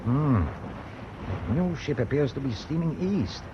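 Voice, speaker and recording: An actor playing a commanding, watchful German sea captain in a radio drama. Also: male voice, medium pitch, animated, unhurried, close to an everyday voice. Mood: measured, watchful. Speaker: ship's captain. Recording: muffled, noisy radio drama recording.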